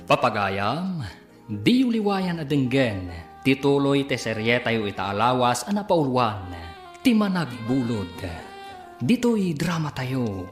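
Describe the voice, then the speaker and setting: A man's voice: medium pitch, sing-song, slow pace. A man with an Ilocano Filipino accent narrating and announcing a radio drama in a dramatic scripted style. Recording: echoey voice over loud music. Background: guitar and instrumental music.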